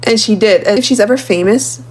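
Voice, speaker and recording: A woman in her thirties with an Arabic accent. She talks in a loose, rambling way, full of fillers and asides, at an ordinary middle pitch, the way a casual vlogger reacts to clips. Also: fairly quick, rough recording. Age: 30s. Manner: chatty, rambling, filler-heavy.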